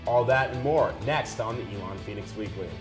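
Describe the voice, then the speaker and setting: A young man anchors a student sports news show, delivering scripted lines at a quick clip. His voice sits mid-range. A faint music bed plays underneath. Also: mid-range voice. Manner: brisk announcer delivery.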